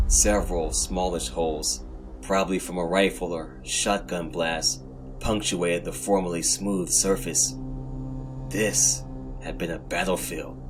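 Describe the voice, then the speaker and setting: A man narrating first-person horror fiction in a dramatic scripted style, as host of a story podcast. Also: sits low. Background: music.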